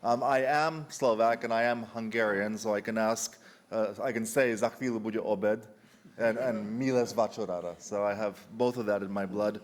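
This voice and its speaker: A man, giving a conference presentation in a relaxed, conversational way with dry humor and frequent restarts. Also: lowish voice, normal speed. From United Kingdom. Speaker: conference speaker. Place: conference hall.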